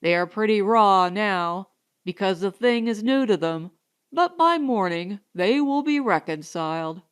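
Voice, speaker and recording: A woman voicing a calm, kindly gentleman in an audiobook, reassuring and measured. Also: medium pitch, smooth, close to an everyday voice. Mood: comforting. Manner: comforting, measured.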